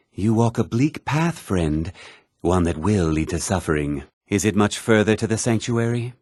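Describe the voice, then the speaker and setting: Devout pilgrim, florid and earnest, animated pitch, dry studio sound, fantasy game. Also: male voice, dry recording. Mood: appalled, righteous. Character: devout, earnest male pilgrim, easily scandalised nature worshipper. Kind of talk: video game character dialogue.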